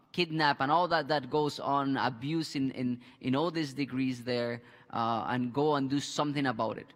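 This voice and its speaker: A man in his thirties hosting a church Bible discussion on a headset mic, halting with restarts and fillers. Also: mid-range voice, fairly bright tone, long reverb tail. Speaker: pastor and host. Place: church studio with a screen behind.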